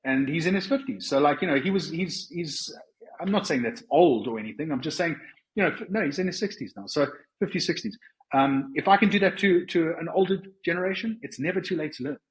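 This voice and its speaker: A man's voice: a little high, expressive, a little fast, rough recording. A man with a South African accent speaks conversationally as an interview guest, earnest and explanatory, often rephrasing as he goes. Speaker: podcast guest.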